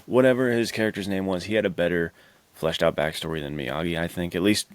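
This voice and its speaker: A man's voice: low voice, fast talker. A man co-hosting a podcast, chatting casually about movies in a slangy, enthusiastic way. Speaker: podcast co-host.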